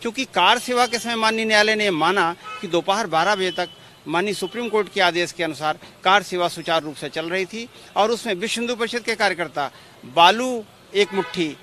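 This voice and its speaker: A man's voice: high voice, sharp tone. A man, a lawyer giving formal, repetitive explanations in a press interview. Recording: outdoor street noise behind.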